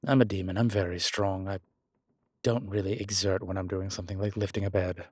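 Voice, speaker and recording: A man playing a strong, scholarly demon in a horror roleplay audio, explaining things in a deliberate, halting, pedantic way. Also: sits low, steady tone, darkish tone, husky. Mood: defensive. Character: strong, scholarly male demon, exasperated occult lecturer. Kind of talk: immersive one-sided roleplay audio drama.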